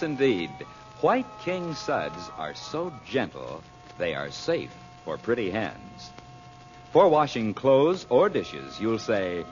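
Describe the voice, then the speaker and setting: A man's voice: lowish voice, full character voice. A man announcing on an old radio serial in a polished, theatrical style, like an advertiser and story narrator. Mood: smooth selling. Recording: muffled old radio recording with music.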